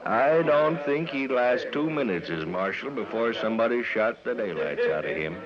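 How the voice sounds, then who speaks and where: Actor for male frontier heavy: insinuating Western drawl, very lively inflection, menacing, old radio drama. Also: medium pitch, moderate pace, a loud crowd, natural voice. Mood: scornful, threatening. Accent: American Western. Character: sly, menacing heavy-set saloon tough, a man. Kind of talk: 1950s radio western acting. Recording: muffled radio recording with music.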